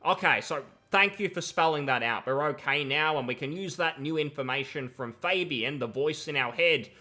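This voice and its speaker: A man's voice: on the high side, normal speed, sharp tone. A man in his thirties giving critical, sarcastic reaction commentary on a game, talking into a headset at home. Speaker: gaming commentator. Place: home room.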